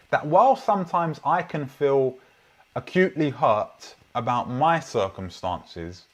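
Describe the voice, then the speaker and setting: A young man with a London accent preaching a sermon, telling personal stories in an earnest, reflective way. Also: medium pitch. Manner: earnest, reflective storytelling with frequent restarts. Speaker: pastor.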